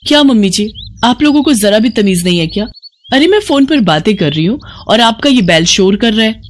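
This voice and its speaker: A narrator voicing a bratty, short-tempered young city daughter-in-law who scolds indignantly in an animated moral story. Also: mid-range voice, fairly quick, a little hiss or hum, pitched above the performer's own voice, lightly acted. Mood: indignant, scolding.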